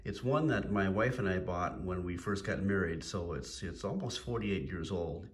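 A man's voice: low-pitched, normal speed. A man in his sixties, plain-spoken and folksy, explaining his homemade kayak fishing gear in a casual how-to video at home, with lots of "uh" fillers. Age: 60s. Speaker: DIY kayak angler. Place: home room with wood floor. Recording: echoey room.

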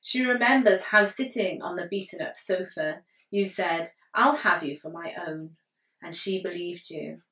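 A young woman poet reading her poetry aloud with measured, deliberate phrasing, recorded indoors in a living room. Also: fairly low pitch, fairly bright tone. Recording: roomy living-room echo, distant mic.

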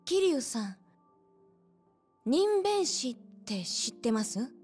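A woman playing a gentle, earnest young hostess in a Japanese game cutscene, speaking politely and softly. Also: sits high, sing-song, slow pace, husky, faint music behind, exaggerated voice. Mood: pleading, serious.

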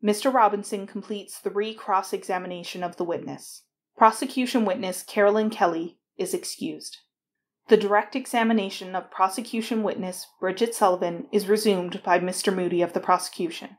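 A woman's voice: mid-range voice, steady tone, a little fast. A woman narrator reading formal scripted narration of court proceedings.

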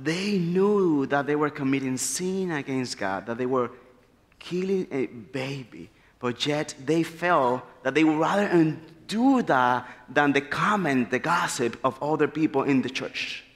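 A man delivers an earnest, animated sermon from the pulpit. His voice sits slightly high for a man. The sound carries a long reverb from the large church hall. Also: measured pace. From Dominican Republic. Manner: animated, gesturing freely, earnest storytelling. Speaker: preacher. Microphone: pulpit mic.

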